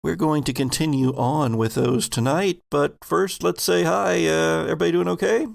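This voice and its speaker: A man hosting and narrating a tabletop role-playing game as game master, in a deliberate storytelling manner. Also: a little high, steady tone, creaky, no echo, studio quality. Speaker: game master and podcast host.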